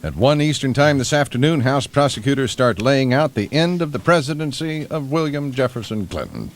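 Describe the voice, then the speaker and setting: An American man with a medium-pitched voice works as a morning radio newsreader. He moves between news delivery and casual banter. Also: animated, a little fast.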